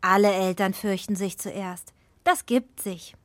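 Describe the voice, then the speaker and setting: A woman's voice: medium pitch, unhurried, no room sound, lightly acted. An actress in a children's radio play playing a good fairy who poses as a poor old woman, speaking persuasively. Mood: coaxing.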